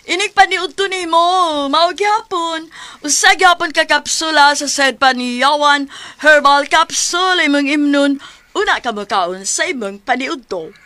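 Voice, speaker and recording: A female product announcer with a Cebuano accent and a high, bright voice. She speaks slowly and deliberately, stressing each instruction of her pitch. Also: dry recording.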